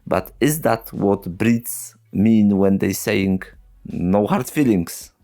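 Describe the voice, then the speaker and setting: Slow, wry male history narrator. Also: on the low side, dark tone. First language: not English. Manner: slow, wry, sarcastic, with short chuckles. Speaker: history channel host. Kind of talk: narrated history video with dry asides.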